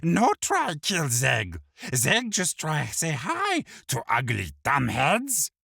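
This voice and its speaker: A screechy tiny red imp. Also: male voice, wildly swooping pitch, slow, gravelly, sharp tone, slightly rough, no echo, studio quality, full character voice. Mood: angry. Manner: screechy, high-pitched, broken grammar. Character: tiny spiteful red male imp, broken speech.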